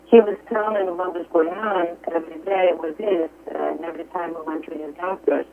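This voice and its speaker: A woman's voice: on the low side, steady tone, gravelly, slight background noise. Earnest Greek-accented woman on the phone. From Greece. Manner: earnest, halting, with many fillers. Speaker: guest, Reiki healer. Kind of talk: interview over the phone. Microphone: telephone. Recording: tinny dry phone line.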